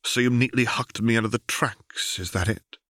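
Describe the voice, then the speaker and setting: A man voicing an angry, sharp-tongued woman with a biting, indignant manner in an audiobook story. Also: mid-range voice, moderate pace, no echo, studio quality, pitched above the performer's own voice, natural voice. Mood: acid. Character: angry, incredulous woman in her prime, sharp-tongued officer.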